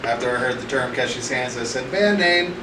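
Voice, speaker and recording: Man, guitarist, laid-back hesitant chat, echoey room, clear background hum. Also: mid-range voice, lively intonation, fairly quick. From South Florida. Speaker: band guitarist. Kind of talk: casual interview chat. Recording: roomy, far from mic, air conditioning hum.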